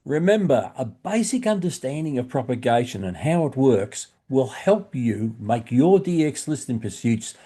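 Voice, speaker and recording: An Australian man narrating a hobby explainer video in a measured, instructive way, addressing the listener in a friendly manner. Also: medium pitch, very animated, warm tone, husky. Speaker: shortwave radio hobbyist presenter.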